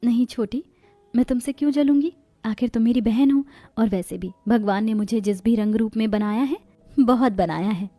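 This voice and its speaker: Woman as a meek, high-voiced elder sister. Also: moderate pace, no room sound, soft background music, natural voice. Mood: hurt but patient. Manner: soft-hearted, earnest, respectful. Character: meek, gentle, long-suffering dark-skinned elder sister. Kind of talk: cartoon moral story voice acting.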